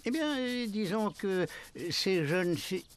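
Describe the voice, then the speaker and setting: Elderly principal, lively pitch swings, ceremonious, fussy, hesitant, cartoon dub. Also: male voice, takes it slowly, clean tone. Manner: formal announcing with hesitant self-corrections.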